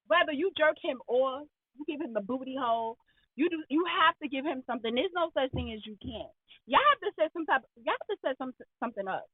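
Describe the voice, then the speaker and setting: Woman, high husky voice, blunt animated talk show banter, slangy, thin phone-like audio. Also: fast talker, dry recording. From Puerto Rican heritage. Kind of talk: casual candid relationship banter. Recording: tinny, phone-like band-limited audio.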